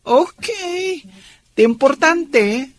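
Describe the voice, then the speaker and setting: A woman acting a lively-pitched, unhurried, caring friend. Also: heavily acted. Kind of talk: radio drama acting. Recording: noisy recording with background ambience.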